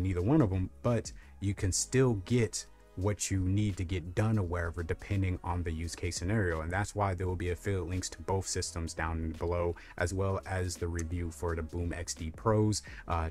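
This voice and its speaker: A young man with a very deep, low voice talks through a gadget review in a loose, rambling way, full of fillers. He is recorded close on a lapel mic in a small room. Faint music plays underneath. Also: fairly even intonation, a little fast, few pauses, a little mellow. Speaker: tech reviewer. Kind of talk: casual product review. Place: small room lit with colored lights.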